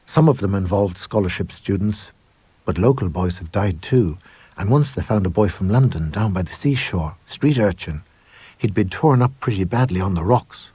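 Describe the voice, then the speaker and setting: A man's voice: sits low, animated, normal speed, dark tone, slightly rough, no echo, full character voice. A man voicing a small, nervous, chatty schoolboy in an audiobook ghost story, eager and uneasy. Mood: gossipy, fearful. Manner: eager, uneasy, boyish exclamations.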